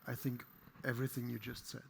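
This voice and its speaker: A man who implements systems answers questions on a panel in a measured, thoughtful way. His voice is mid-pitched, and a faint noise runs underneath it. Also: level tone, creaky, darkish tone. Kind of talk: panel discussion.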